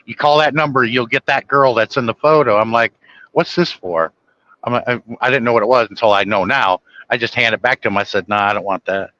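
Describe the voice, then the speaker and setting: A man's voice: medium pitch, a little fast, pushed and bright, no echo, lo-fi sound. A man, a trucker, chatting casually on a livestream in a blunt, folksy way. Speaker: trucker livestreaming while working.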